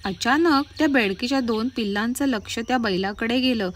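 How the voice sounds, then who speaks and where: A woman narrates a children's storybook in a fairly high voice. She is expressive and speaks straight to her young listeners. Music plays behind her. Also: moderate pace. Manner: expressive, addresses children directly. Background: background music.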